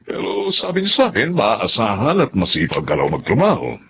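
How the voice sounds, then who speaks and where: Deep, gravelly Filipino boss. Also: male voice, wildly swooping pitch, normal speed, fairly bright tone, full character voice. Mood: brisk, admonishing. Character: brisk, kindly male boss hiring a new cashier. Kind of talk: Filipino TV drama acting.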